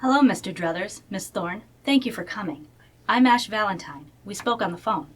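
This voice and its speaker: A woman's voice: medium pitch, expressive, moderate pace, fairly bright tone. A woman playing a curt community radio operations director, matter-of-fact and dry. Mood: curt, businesslike.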